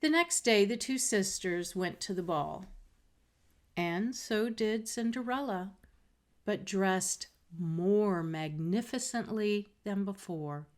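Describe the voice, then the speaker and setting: A woman reading a fairy tale aloud as a storyteller, in a measured, expressive way. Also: mid-range voice. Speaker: storybook reader. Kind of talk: scripted read-aloud narration of a fairy tale.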